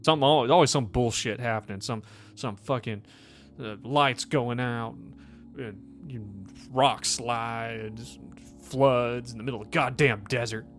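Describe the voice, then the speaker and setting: A man's voice: mid-range voice, steady tone, unhurried, faint music behind, close to an everyday voice. A man playing a bitter, injured miner in a tabletop game, grumbling and swearing as he talks. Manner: grumbling, heavily profane. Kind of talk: in-character tabletop roleplay.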